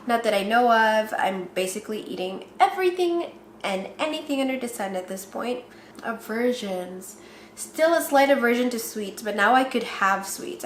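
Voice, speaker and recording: A woman's voice: medium pitch, slight background noise. A woman with a Filipino accent chatting casually with her viewers, laughing often and teasing herself. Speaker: pregnancy vlogger. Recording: roomy echo.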